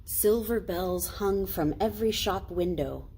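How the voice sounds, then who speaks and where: Slow-paced American woman reading a story. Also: mid-range voice, mellow. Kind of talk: children's storytime read-aloud. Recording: faint noise, ambient sound.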